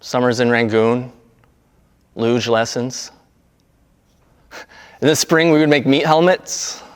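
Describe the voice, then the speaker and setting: Long reverb, male, smooth clear voice, mid pitch, understated, halting, amateur monologue reading. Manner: halting, low-key, naturalistic delivery. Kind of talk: casual intro, then understated monologue reading.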